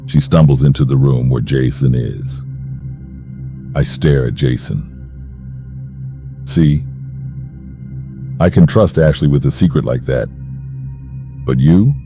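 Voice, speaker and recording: A man's voice: extremely low pitch, slow, pauses often, music in the background, exaggerated voice. A man playing a menacing, ruthless armed villain in an audio drama, narrating coldly and snarling his commands. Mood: cold, tense narration. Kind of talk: first-person audio drama narration with dialogue.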